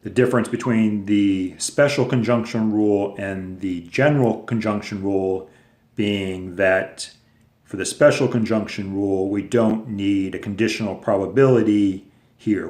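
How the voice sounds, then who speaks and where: A man's voice: lowish voice, steady tone, moderate pace, a little mellow. A man teaching a recorded lesson, explaining patiently step by step with casual fillers. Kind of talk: recorded lecture video. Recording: echoey room.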